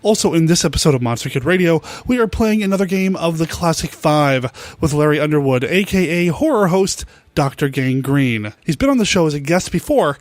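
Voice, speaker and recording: A man with a slightly high voice speaks as a podcast host in a casual, chatty style. The recording is dry, with very little room sound. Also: no echo. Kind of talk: solo show intro and casual interview chat.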